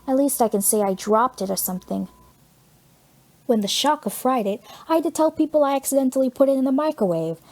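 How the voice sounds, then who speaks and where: A woman's voice: high voice, animated, brisk, darkish tone, voice pushed up. Female narrator as teenage boy hero, wisecracking, breezy, sarcastic, chatty, audiobook dialogue. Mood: sarcastic rambling. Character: wisecracking fifteen-year-old boy superhero, cheeky and chatty.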